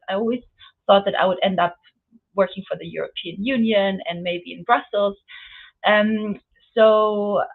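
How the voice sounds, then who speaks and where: A woman's voice: mid-range voice, vocal fry. A woman from Romania in her forties, speaking earnestly as a panelist in a webinar, with many fillers. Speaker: panelist, UN office chief. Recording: phone-like narrow line.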